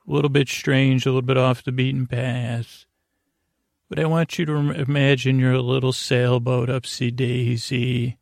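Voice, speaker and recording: A man hosting a bedtime podcast with a whimsical, meandering, halting monologue that often trails off, in a gravelly voice. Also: medium pitch, flat delivery, no room sound, clean studio sound. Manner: whimsical, talks to an imaginary guest. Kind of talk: rambling improvised bedtime monologue.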